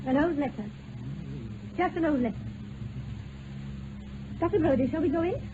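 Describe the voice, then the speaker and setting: An actress playing a poised society wife in a radio drama, genteel and theatrical, with fear hidden behind formal politeness. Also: female voice, high voice, moderate pace, natural voice. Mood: subdued. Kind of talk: mid-century radio drama acting. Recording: noisy tinny old radio recording.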